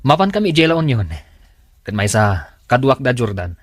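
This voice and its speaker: A man's voice: medium pitch, very animated, normal speed, no echo, clean studio sound. A man acting an irritable, demanding young partner in a radio drama, curt and complaining. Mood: dismissive.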